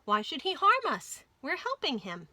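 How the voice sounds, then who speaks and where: A woman voicing a gentle, worried female mouse, earnest and questioning, in a children's storybook. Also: high voice, huge pitch swings, normal speed, dry recording, pitched above the performer's own voice, full character voice.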